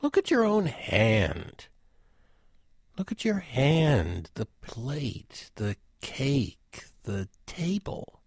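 A wry, rambling intellectual in a radio drama. His pitch swings dramatically while he takes his time, digressing and hesitating. The sound is dry and close. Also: wildly swooping pitch, very slow, slightly rough, dry recording, full character voice. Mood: wry, reminiscing. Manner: hesitant, digressive, chuckling asides. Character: rambling, wry, self-deprecating male intellectual reminiscing. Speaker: actor in a radio play. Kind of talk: conversational dramatic monologue.

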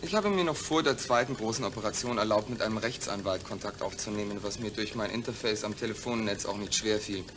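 An actor as a proud, emphatic astronaut patient. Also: male voice, lowish voice, quick pace, few pauses, background noise, natural voice. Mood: defiant, indignant. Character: male astronaut patient, proud, defiant, distrustful, demanding. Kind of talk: German science-fiction radio drama acting.

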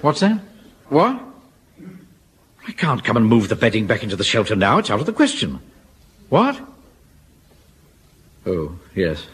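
An actor playing a pompous, blustering middle-aged captain in a radio sitcom. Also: male voice, lowish voice, wildly swooping pitch, unhurried, long pauses, a bit bright, exaggerated voice. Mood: flustered. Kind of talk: 1970s radio sitcom acting. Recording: old radio recording with hiss.

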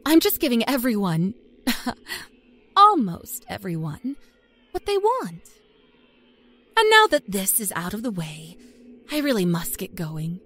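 A woman's voice: mid-range voice, fairly bright tone, heavily acted. A woman playing a smug, sly middle-aged small-town fake psychic, telling her horror story in a folksy, confiding, taunting manner. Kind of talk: dramatic first-person horror story narration.

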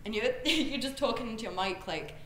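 High-voiced young British woman, roomy echo. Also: brisk, noisy background. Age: young adult. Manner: animated storytelling, frequent "like". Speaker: interview guest, actor. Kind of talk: casual, joking interview chat. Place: large bright indoor studio room, on a sofa. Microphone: handheld microphone.

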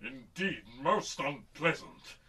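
Man as a high, raspy, formal winged alien. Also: animated, bright, forceful tone, distant mic, heavily acted. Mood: solemn, dry. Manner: formal, deliberate. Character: stately winged alien sidekick, formal and long-suffering. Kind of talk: old-time radio sci-fi serial acting.

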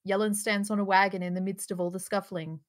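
A woman's voice: medium pitch, flat delivery, fast. A woman reading out screenplay stage directions as narrator in a live table read over a video call. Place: indoors, on a group video call.

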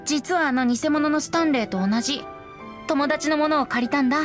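A woman voicing a youthful, boyish wind spirit bard in an anime game, gentle and wistful, with lyrical storytelling phrasing. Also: high voice, a little fast, music in the background, lightly acted. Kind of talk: anime video game voice acting, poetic narration.

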